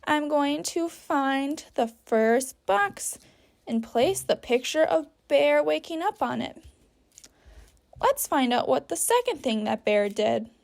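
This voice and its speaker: Slow-paced, high-voiced woman teaching young children. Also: very animated, creaky. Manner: patient, simple sentences with guiding questions and pauses. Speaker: teacher leading a children's story activity. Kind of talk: instructional read-aloud for young children, step-by-step.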